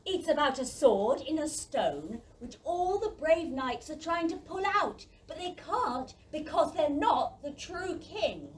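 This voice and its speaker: A woman's voice: sits high, very animated, slow, few pauses, recorded from a distance, full character voice. A woman playing a bookish, confident young farm boy in a children's audio play. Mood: eager.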